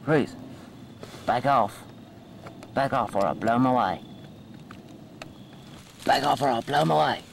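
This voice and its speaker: A bitter young outcast, played by a man, sneers and challenges in a folksy, mid-pitched voice. It is amateur audio drama on faintly noisy old cassette. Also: measured pace, creaky, pauses often. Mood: hostile, mocking. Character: bitter, defiant homeless young outcast with a gun.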